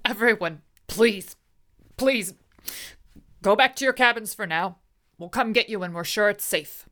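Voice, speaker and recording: A woman playing a brisk, composed production manager who narrates a mystery radio play in a controlled, noir storyteller's way. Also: medium pitch, sharp tone. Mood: tense, commanding. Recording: music bed behind the voice.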